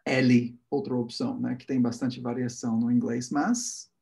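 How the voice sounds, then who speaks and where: Gravelly man, native English speaker. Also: medium pitch, level tone, moderate pace, a little mellow. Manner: playful, mimics words and pronunciations. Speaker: English teacher, host. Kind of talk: casual podcast chat.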